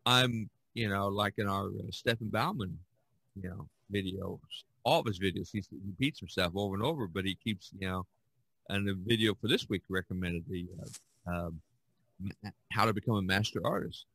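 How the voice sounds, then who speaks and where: A man with a fairly low voice hosts a casual conversation. He rambles from one thought to the next, and the sound is dry and close, with little room in it. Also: normal speed, creaky, fairly bright tone, husky, no room sound, rough recording. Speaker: podcast host. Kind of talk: casual chat.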